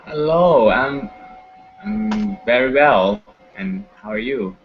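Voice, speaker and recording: A man's voice: mid-range voice, animated, slow, a little hiss or hum. A man from Thailand who is a language student, giving short, hesitant answers in beginner English. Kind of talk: casual class chat. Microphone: computer microphone on a video call. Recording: muffled lo-fi online call audio with faint music.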